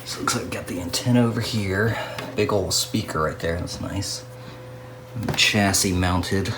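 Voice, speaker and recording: A man narrating a casual hands-on restoration video as a hobbyist, musing aloud to himself in an unhurried way. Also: low voice, noisy background.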